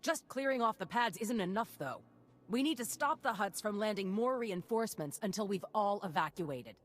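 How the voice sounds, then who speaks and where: An actress playing a frazzled, sarcastic town mayor in a video game, with a blustering, folksy, exclaiming delivery. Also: female voice, medium pitch, lively intonation, moderate pace, natural voice. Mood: urgent. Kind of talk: video game character acting.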